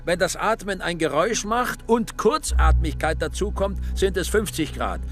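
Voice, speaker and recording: A man with a gravelly voice giving scripted narration in a radio drama. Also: high voice, animated, normal speed, faint music behind.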